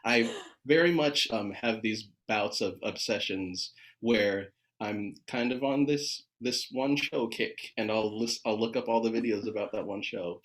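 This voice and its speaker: A man's voice: lowish voice, unhurried, few pauses, fairly bright tone, rough recording. An American man from California, a choir conductor, talking in casual conversation. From San Diego, California, United States.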